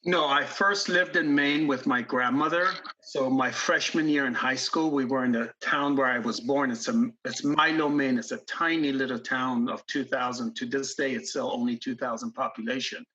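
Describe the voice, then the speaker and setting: Husky-voiced man in his fifties. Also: mid-range voice, steady tone, sharp tone, highs cut off. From grew up in Iran, born in the US. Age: 50s. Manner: halting, many ums and restarts. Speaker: guest investor. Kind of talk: casual interview storytelling.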